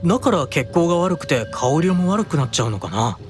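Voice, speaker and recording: A serene, high-voiced anime young man. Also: very animated, a little fast, faint music behind. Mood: serene, curious. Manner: mild, musing, unbothered. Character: serene, curious, unflappable gentle young man. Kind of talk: Japanese anime-style audio drama acting.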